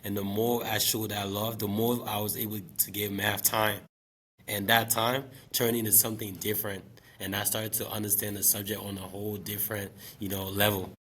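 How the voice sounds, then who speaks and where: A man in his late twenties from Liberia, speaking as an interview guest. He talks about teaching in a relaxed, reflective way, with many fillers. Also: lowish voice, moderate pace, a little hiss or hum. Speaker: guest, special education math teacher. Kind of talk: casual interview talk.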